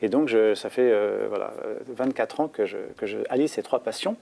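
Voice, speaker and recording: A man who conducts a choir, telling a lively story in a conference interview. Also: lowish voice, moderate pace, creaky, a little hiss or hum.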